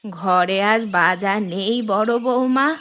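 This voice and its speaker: A woman voicing an elderly, gently fretful village mother-in-law in a cartoon, with slow, drawn-out speech. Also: mid-range voice. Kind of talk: cartoon voice acting.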